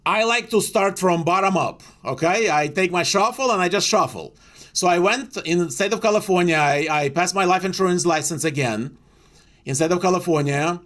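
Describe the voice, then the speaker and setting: A middle-aged man with a Ukrainian accent, a guest telling his life story in a relaxed interview over a video call, acting out his own past thoughts. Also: high-pitched, normal speed. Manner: animated, quotes his own past thoughts, frequent fillers.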